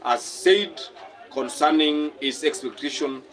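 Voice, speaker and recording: A man's voice: on the high side, slow, a bit bright. Kenyan man chatting on a live stream. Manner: reads viewer comments aloud and repeats phrases. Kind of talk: casual live-stream chat.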